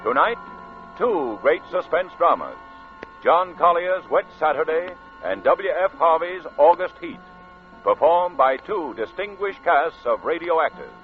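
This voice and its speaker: A man's voice: a little high, sing-song, measured pace. Man, 1940s radio announcer, emphatic, swooping delivery, tinny narrow recording, music underneath. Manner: emphatic, theatrical. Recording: tinny, band-limited old radio recording.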